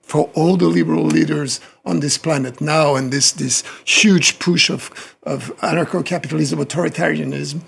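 A man with a gravelly, husky voice speaks as a guest on a news panel. He halts often and repeats words while he looks for the right phrasing. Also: on the high side, fairly even intonation, normal speed, few pauses, a bit bright. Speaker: panel guest, documentary producer.

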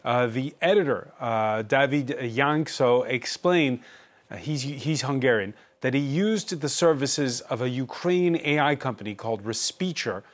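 A man's voice: mid-range voice, lively intonation, a little slow. Man, interviewer, probing, hesitant, filler-heavy. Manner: probing, hesitant with many uhs and ums. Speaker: interviewer host. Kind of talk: interview questions.

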